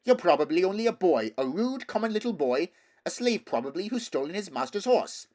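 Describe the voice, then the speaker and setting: A man's voice: high-pitched, big pitch swings, fairly quick, darkish tone, pitched above the performer's own voice. A man voicing a fierce, haughty young noble girl in a storybook, snappish and scornful. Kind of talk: storybook character voice.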